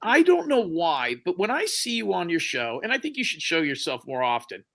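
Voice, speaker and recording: A man in his forties, teasing and crude, chatting on a livestream with loud outbursts. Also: high-pitched, wildly swooping pitch, moderate pace. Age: 40s. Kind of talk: crude joking chat on a livestream.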